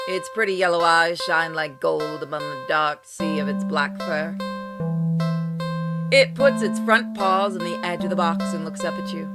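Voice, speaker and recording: A woman reading a story game aloud in an expressive narration, acting out exclamations and asides playfully. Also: lowish voice, a little slow. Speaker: gamer reading a visual novel aloud on a playthrough. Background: music with plucked guitar.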